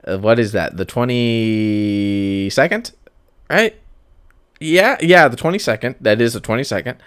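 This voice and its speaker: A man's voice: medium pitch, a little slow, a bit bright, clean tone, no room sound, studio quality. A man hosting a podcast solo in casual chat, rambling and cutting himself off with self-aware asides. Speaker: podcast host.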